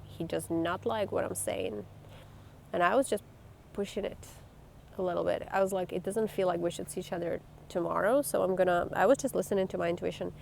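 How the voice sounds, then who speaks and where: Blunt woman with a gravelly voice. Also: fairly low pitch, fairly even intonation, fairly quick, slight background noise. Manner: blunt, emphatic and swearing. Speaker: vlogger. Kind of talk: candid talk to camera.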